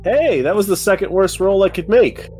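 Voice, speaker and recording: Animated, profane male player over music. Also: high voice, moderate pace. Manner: profane, mock-combative. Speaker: tabletop game player. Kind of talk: casual game banter.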